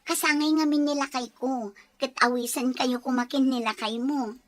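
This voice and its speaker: Casting: actress as generous married homemaker, high-pitched, chatty, Ilocano accent, audio family drama. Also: female voice, steady tone, normal speed, dry recording, lightly acted. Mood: friendly, generous. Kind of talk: acted family radio-style drama dialogue.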